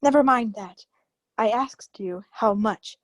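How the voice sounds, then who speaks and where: A woman's voice: medium pitch, takes it slowly. A woman playing a cornered, desperate wife with a secret past in a radio crime drama, pleading and defiant. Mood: tense, guarded. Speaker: actor. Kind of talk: old-time radio crime drama acting.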